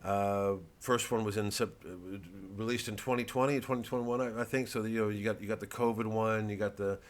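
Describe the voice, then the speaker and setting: Kinda low-voiced guy rambling nervously to camera, lots of restarts, mocks himself. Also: steady tone, fairly quick. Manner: rambling, self-mocking, many restarts and fillers. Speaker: comedian promoting his show. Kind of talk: casual direct-to-camera chat.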